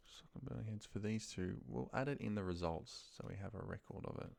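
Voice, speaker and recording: A man thinking aloud in a muttered, pausing way as he talks through spreadsheet work on his computer. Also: lowish voice, creaky, a little mellow. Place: at a computer desk, indoors.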